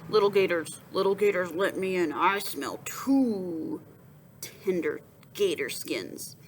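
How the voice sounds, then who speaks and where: A big, menacing storybook boar, acted out by a woman reading aloud, hungry and raspy. The threats come slowly in a snorting, swinging chant, with some noise behind the voice. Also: on the low side, expressive.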